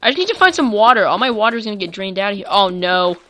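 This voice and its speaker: Chatty bright-voiced woman gaming. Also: medium pitch, very fast, slight background noise, rough recording. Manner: chatty, excitable, talks to viewers. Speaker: gaming YouTuber. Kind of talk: let's play commentary.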